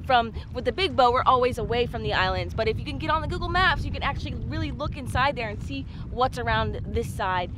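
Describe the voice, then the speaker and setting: A woman's voice: a little high, animated, fast, hardly any pauses, noisy background. A young woman vlogging from a small boat outdoors, chatting to viewers as she explains. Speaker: fishing vlogger. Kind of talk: casual fishing vlog.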